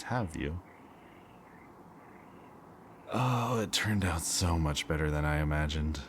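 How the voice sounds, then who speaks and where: A man playing a smug, taunting kidnapper villain in an audio roleplay, with a mocking, theatrically drawn-out delivery. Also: rumbling low, slight background noise. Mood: gloating, amused. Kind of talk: scripted audio roleplay, ASMR.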